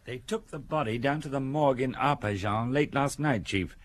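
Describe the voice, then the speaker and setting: An actor playing a police subordinate giving plain, businesslike reports in an audio drama. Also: male voice, medium pitch, moderate pace, close to an everyday voice. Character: matter-of-fact male police subordinate reporting.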